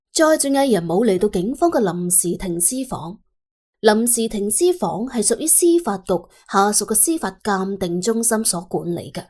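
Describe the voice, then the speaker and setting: A woman narrating an audiobook in animated storytelling style. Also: mid-range voice.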